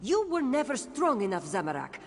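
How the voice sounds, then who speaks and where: A woman's voice: on the high side, sing-song, a little fast. A woman voicing a bitter, accusing apparition of the dead in a video game. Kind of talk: video game character acting. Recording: long reverb, faint background noise.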